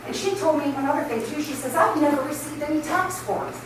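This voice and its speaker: A woman's voice: high voice, brisk. A woman resident speaking at public comment, rambling and scattered, apologizing as she goes. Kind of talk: public comment at a council meeting. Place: council chamber. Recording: echoey chamber, far from mic, noisy.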